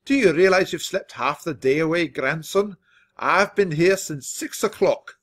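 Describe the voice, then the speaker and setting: A man voicing a bossy, miserly old grandfather mouse in a children's audiobook, hectoring loudly with emphatic, drawn-out words. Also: fairly high pitch, lively intonation. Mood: indignant.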